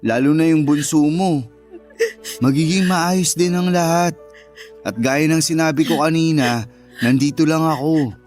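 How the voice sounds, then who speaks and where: An actor playing a steadfast, consoling young bachelor in a Filipino radio love-story drama. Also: male voice, fairly high pitch, steady tone, a little slow, long pauses, a little mellow, close to an everyday voice. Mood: gentle, consoling. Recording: music bed under the voice.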